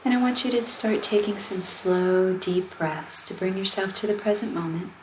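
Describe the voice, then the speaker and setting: A woman leading a guided meditation, speaking in a gentle, soothing, conversational way as she gives simple instructions. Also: medium pitch, normal speed, poor audio. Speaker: meditation guide, wellness coach. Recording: steady noise of ocean waves. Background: ocean wave sounds.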